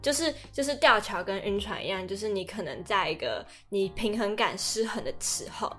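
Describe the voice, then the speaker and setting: A woman's voice: medium pitch, expressive, moderate pace, a bit bright, soft background music. A young adult woman with a Taiwanese Mandarin accent, chatting casually as a podcast co-host. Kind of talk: casual chat.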